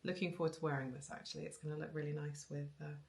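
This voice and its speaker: A woman in her thirties talks casually into a camera in her living room, her voice low and touched with vocal fry. She rambles along with ums and restarts, breaking into small laughs. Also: flat delivery, racing, a little mellow. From Wales. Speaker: knitting vlogger. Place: living room with bookshelves, indoors. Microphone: camera on a tripod facing her.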